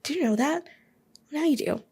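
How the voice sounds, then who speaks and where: A woman's voice: high-pitched, lively intonation, moderate pace, darkish tone. A young woman from Alabama hosting her own podcast, rambling chattily with lots of fillers. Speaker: podcast host. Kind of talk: casual solo podcast monologue.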